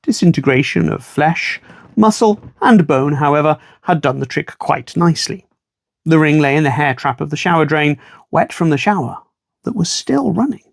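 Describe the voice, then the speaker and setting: A man reading a children's story aloud in an expressive storytime style, with wry asides to the listener. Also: on the high side, normal speed, gravelly, dry recording, clean studio sound.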